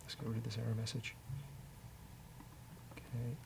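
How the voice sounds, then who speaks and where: A man with a creaky, gravelly voice talks through his code as he goes. He is casual and a bit hesitant, often muttering to himself. There is faint noise behind him. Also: mid-range voice, completely flat delivery, normal speed. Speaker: developer and show host. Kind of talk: casual live coding commentary, thinking aloud.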